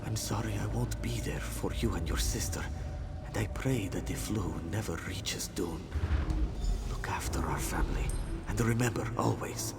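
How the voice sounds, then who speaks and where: A man playing a gentle, wise cat-man father in a fantasy game, tender and measured with a breathy voice. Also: lowish voice, close to an everyday voice. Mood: grieving farewell. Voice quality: breathy, whispery. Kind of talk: dramatic fantasy game acting. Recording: loud music over the voice.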